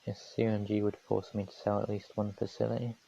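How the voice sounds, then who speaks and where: A man calmly commentating his own strategy game on a live stream, his voice going hoarse, from New Zealand. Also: lowish voice, fairly quick, dull audio. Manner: calm, matter-of-fact strategy talk.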